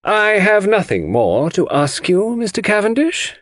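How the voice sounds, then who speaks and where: A man voicing a forceful, sarcastic senior barrister in an audiobook, emphatic, deliberate and pointed. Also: sits high, wildly swooping pitch, dry recording, clean studio sound, voice pushed up, full character voice. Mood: sardonic, pressing. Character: forceful, sarcastic male senior barrister cross-examining in court.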